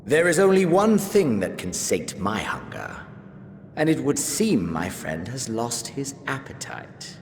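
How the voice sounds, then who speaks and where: An actor playing a menacing, cultured prince villain obsessed with battle, speaking with grandiose, formal deliberation in a video game cutscene. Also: male voice, mid-range voice, wildly swooping pitch, unhurried, noisy background. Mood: ominous, composed.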